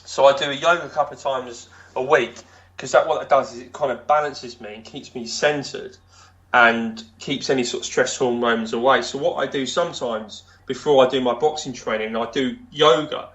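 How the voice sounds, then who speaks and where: Podcast guest, man, deep voice, loose rambling chat. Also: fairly even intonation, normal speed, fairly bright tone, muffled recording. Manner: rambling, many fillers and restarts. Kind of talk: casual interview chat.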